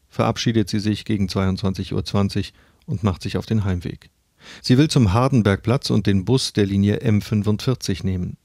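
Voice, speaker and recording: A man's voice: lowish voice, a little mellow. A man reading scripted true-crime narration as the narrator. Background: faint music.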